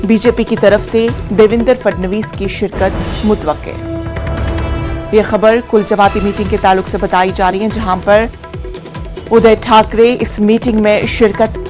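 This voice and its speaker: A woman anchoring live television news, presenting headlines and putting questions to a reporter. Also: on the high side. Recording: music bed behind the voice.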